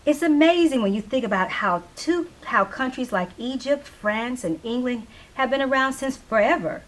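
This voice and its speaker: A woman's voice: high voice, normal speed, lightly acted. A woman voicing an opinionated small-town radio DJ of the 1970s, speaking in an emphatic, preachy way in a stage play reading. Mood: preachy, fervent.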